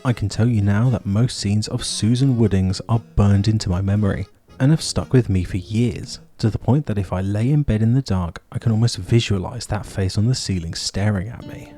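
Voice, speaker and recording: A man's voice: fairly low pitch, moderate pace, dark tone, husky, soft background music. A man hosting and narrating a scripted video essay.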